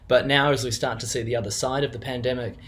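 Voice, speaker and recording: A younger man with a New Zealand accent speaks at a middle pitch, talking off the cuff as a business owner recommending a service. He hesitates often, filling gaps with ums and restarting his sentences as he goes. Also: steady tone, quick pace, fairly bright tone, a little hiss or hum. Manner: hesitant, many fillers and restarts. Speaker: business co-owner giving a client testimonial. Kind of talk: unscripted testimonial.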